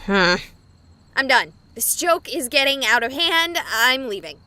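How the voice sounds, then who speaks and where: A woman's voice: sits high, big pitch swings, a little slow, bright, forceful tone, smooth, no echo, full character voice. An actress voicing a young woman streamer, skeptical and sarcastic but rattled by a haunting. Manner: sarcastic, flustered. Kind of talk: visual novel voice acting.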